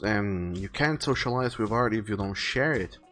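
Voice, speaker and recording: A man's voice: fairly low pitch, lively intonation, moderate pace, a bit bright. A man hosting a casual art livestream, talking to viewers in an earnest, conversational way. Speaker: livestreaming artist and host. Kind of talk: casual livestream chat, reading viewer messages aloud and giving advice. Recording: music behind the voice. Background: faint background music.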